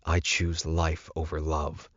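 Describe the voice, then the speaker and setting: A man's voice: a bass voice, monotone, slow pace, fairly bright tone, dry recording, highs cut off, full character voice. A man voicing an anxious, submissive young werewolf informant in an audiobook. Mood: regretful.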